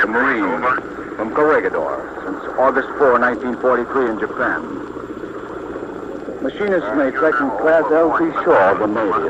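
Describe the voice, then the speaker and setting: A noisy, muffled 1940s radio broadcast carries an American man reporting live from outdoors. His voice is fairly high, and he announces in a formal, measured way, phrasing each detail deliberately as a correspondent on the scene. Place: outdoors on a warship deck. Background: loud noise, aircraft drone.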